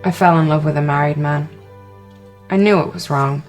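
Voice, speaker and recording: A woman's voice: deep voice, fairly even intonation, moderate pace, music in the background, close to an everyday voice. An actress playing a resigned, shamed mother giving a restrained, sombre first-person testimony. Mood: resigned, ashamed. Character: resigned, shamed woman who lost her baby.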